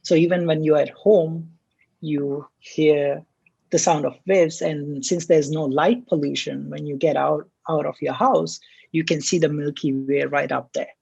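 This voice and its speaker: A man from the Maldives, a startup CTO, answering interview questions in a relaxed, hesitant way with many fillers. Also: a little high, measured pace, vocal fry, husky, highs cut off.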